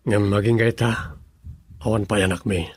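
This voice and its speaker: An actor playing a brooding husband who longs for a child in an Ilocano radio drama, grave and hesitant, with an Ilocano accent. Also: male voice, on the low side, fairly even intonation, moderate pace, a little mellow, breathy edge, no room sound. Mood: somber, confiding.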